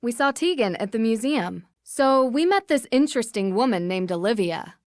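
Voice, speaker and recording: A woman voicing an earnest, inquisitive young American detective in scripted video game dialogue, speaking politely and clearly with persistent questions. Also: a little high, expressive, normal speed, no echo, studio quality, natural voice. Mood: curious, composed. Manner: polite, probing, clearly articulated.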